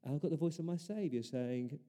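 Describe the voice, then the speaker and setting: A man's voice: fairly high pitch, a little fast, dark tone. A man preaching a sermon as pastor, earnest, building his points through repeated rhetorical questions.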